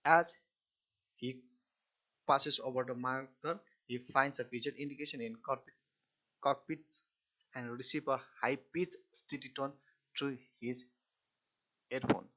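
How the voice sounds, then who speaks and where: Man lecturing haltingly on a dull recording. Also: mid-range voice, fairly even intonation, pushed and bright. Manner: halting, repetitive phrasing with restarts. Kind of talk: explanatory technical lecture.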